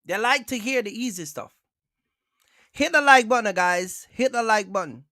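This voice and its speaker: A man's voice: high-pitched, normal speed, pushed and bright, dry recording, studio quality. A man hosting a trucking livestream, talking casually and emphatically, repeating himself and asking viewers for likes. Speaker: trucking channel host.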